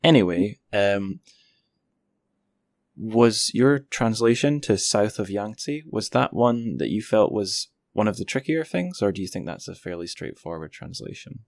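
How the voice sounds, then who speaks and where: A man's voice: lowish voice, animated, unhurried, a little mellow, no room sound. A man hosting a podcast interview, casual and tentative, thinking aloud as he talks.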